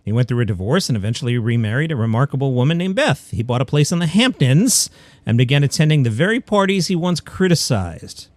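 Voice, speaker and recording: A man's voice: mid-range voice, very animated, fast talker, dry recording. Wry male podcast host, dry close sound. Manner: wry, opinionated asides. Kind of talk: comedy news commentary, partly scripted.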